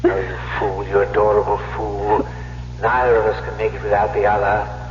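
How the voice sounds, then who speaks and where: An eerie dead husband, caressing and mocking in a radio ghost play. The lowish voice rings with heavy echo on a muffled old recording. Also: male voice, little pitch movement, normal speed, slight background noise. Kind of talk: old-time radio drama acting.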